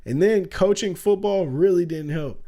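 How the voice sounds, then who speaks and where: A young man talks casually on a stream, loose and full of slang, laughing easily. His voice sits a little high but sounds warm and mellow, with a faint rasp. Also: moderate pace. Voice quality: raspy at times. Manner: loose, slangy, laughs often, says "bro" a lot. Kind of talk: casual livestream commentary.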